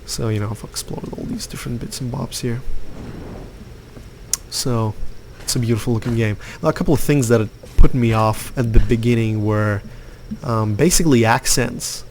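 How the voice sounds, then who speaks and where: A man's voice: medium pitch, moderate pace, darkish tone, slight background noise. A young man from Lithuania giving rambling, opinionated commentary over his gameplay, with lots of fillers. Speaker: gaming youtuber.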